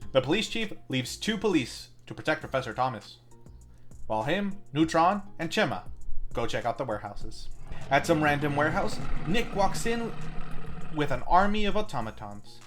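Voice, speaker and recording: A man hosting a film review, reading a scripted movie recap with deadpan, wry asides over music. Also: fairly high pitch, moderate pace. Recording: music bed under the voice.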